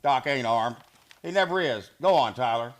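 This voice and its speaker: Man as a scornful, lively-pitched cowboy henchman. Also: moderate pace, natural voice. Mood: hostile. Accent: American. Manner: scornful, folksy rural speech. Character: hard, grudge-bearing male cowboy henchman, ex-Confederate. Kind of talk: Western radio play acting.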